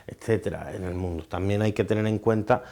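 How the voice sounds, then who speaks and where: A man answering an interview thoughtfully, pausing with fillers as he builds his points. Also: lowish voice, gravelly, warm tone.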